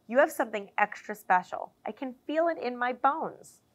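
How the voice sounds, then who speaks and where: A proud, warm-hearted mother bulldog from a picture book, as a woman reader puts her on. She speaks fondly and reassuringly, pitched a bit higher and pushed a bit louder than a plain reading voice. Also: lively intonation, normal speed, lo-fi sound. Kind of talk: storybook character voice.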